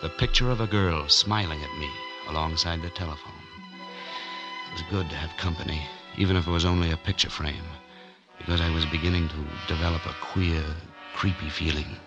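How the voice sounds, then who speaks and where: A man's voice: sits low, fairly even intonation, a little fast, dull audio, lightly acted. An actor playing a broke, wry drifter who tells his story in laconic hard-boiled 1940s radio noir style. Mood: brooding narration. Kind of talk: 1940s radio noir acting and first-person narration. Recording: vintage 1940s radio recording with music behind.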